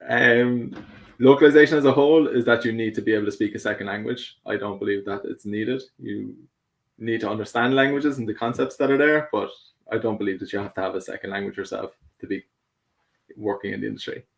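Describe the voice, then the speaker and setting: A man's voice: lowish voice, a little fast, vocal fry. A man from Ireland with an Irish accent, answering interview questions casually, hesitant and full of fillers, laughing easily. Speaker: guest.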